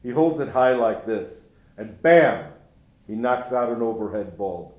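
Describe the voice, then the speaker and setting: A man's voice: mid-range voice, lively intonation, a little slow, muffled recording, close to an everyday voice. An actor playing a grieving older grandfather who speaks in a folksy, rueful way in a dramatic monologue. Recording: roomy echo.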